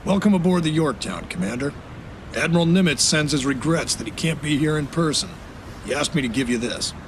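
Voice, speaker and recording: A man playing a brisk navy admiral. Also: a little high, lively intonation, a little hiss or hum, natural voice. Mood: welcoming. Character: older male admiral, gruff, commanding.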